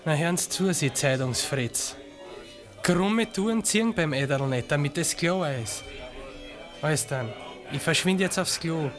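A grumbling Viennese petty crook, higher-voiced. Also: male voice, expressive, unhurried, a bit bright, soft background music. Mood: gruff, warning. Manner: slangy, suspicious. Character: wary Viennese petty thief, streetwise and grumbling. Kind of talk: radio play acting.

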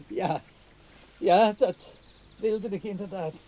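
An actor playing a mild older man in a radio play, out of breath and halting as he talks. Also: high-pitched, mellow, heavily acted. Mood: breathless, halting. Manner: halting, repeats words between breaths. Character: winded, mild older man out walking uphill.

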